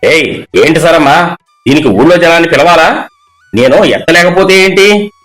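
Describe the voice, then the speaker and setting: A man's voice: fairly high pitch, expressive, normal speed, fairly bright tone, low-quality recording. A man playing a pompous, self-important village headman in a moral story, exclamatory and grudging, over music. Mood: irritated.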